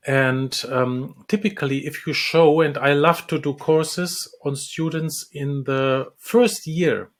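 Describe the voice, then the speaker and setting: A man from the Republic, a dentist and lecturer, answering interview questions with many pauses, ums and restarts. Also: fairly high pitch, steady tone. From Czech Republic. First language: Czech.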